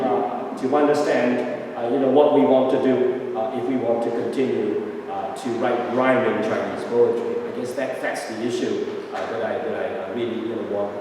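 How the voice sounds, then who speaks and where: A man's voice: mid-range voice, warm tone. Cantonese-speaking man lecturing in echoey hall. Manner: hesitant, many fillers and repeated words, tag "right?". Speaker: academic lecturer. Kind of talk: academic talk. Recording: echoey hall, distant mic, faint noise.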